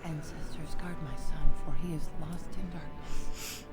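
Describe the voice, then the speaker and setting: A woman's voice: low voice, fairly even intonation, measured pace, over loud music. A woman voicing a grieving older dwarf widow in a video game, with a solemn, sorrowful manner.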